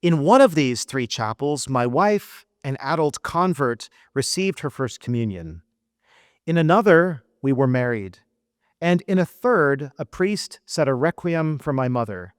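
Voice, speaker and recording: A middle-aged American man giving a formal keynote speech at a gala, with an earnest, emphatic delivery and measured pauses. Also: mid-range voice, sing-song, smooth, no echo, clean studio sound. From United States. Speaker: keynote speaker. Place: event hall.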